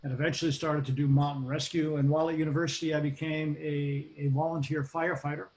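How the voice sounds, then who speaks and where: A man in his sixties with a Boston accent, a guest recalling his life in a relaxed interview, talking in a measured way with frequent ums and restarts. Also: on the high side, slight background noise, low-quality recording. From Boston, United States. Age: 60s. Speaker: guest, occupational safety expert. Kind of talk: casual interview.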